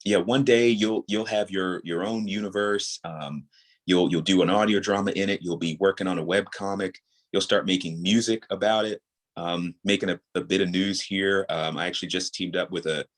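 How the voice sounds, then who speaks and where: A man with a low voice talks casually as a podcast guest. He sounds earnest and reflective, piecing his thoughts together in short, halting phrases. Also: fairly even intonation. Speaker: podcast guest, audio drama creator. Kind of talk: casual interview conversation.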